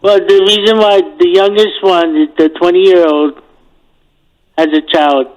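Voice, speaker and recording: A man calling in to a show who answers questions slowly and hesitantly. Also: sits high, little pitch movement, a little mellow, lo-fi sound. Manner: slow, hesitant. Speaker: caller.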